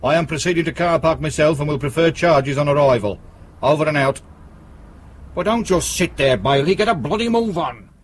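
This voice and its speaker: Casting: man playing curt, defiant British detective sergeant, gruff and combative, radio play, slight background noise. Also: on the high side, animated, moderate pace, natural voice. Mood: irritated, weary. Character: blunt, defiant, sweary male police detective sergeant. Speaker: actor. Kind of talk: British police radio drama acting.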